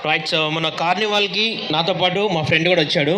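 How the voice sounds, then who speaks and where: A man's voice: fairly high pitch, steady tone, fast. A man who performs mimicry, chatting with the audience from the stage at a carnival. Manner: chatty, mixing in English words. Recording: live carnival crowd and noise.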